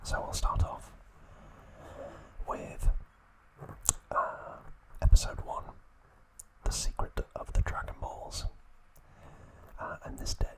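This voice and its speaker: A man hosting ASMR, reading trivia in a breathy, hushed, soothing whisper. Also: poor audio. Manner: slow, hushed, soothing delivery. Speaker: ASMR video host.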